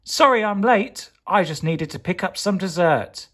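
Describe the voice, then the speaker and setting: A man's voice: sits high, expressive, unhurried, fairly bright tone, pitched above the performer's own voice, heavily acted. A man voicing a plucky, unflappable superhero potato in a children's storybook, speaking in a heroic, exclaiming way. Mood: cool, confident.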